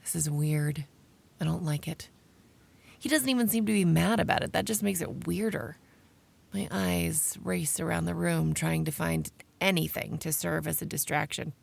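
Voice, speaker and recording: A woman's voice: low-pitched, normal speed, vocal fry, full character voice. A woman playing a sardonic vampire researcher in an audio drama, narrating in a wry, profane, conversational way. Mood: uneasy. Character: Aurora, sardonic young female vampire researcher narrating her exploits. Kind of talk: first-person audio drama narration and dialogue.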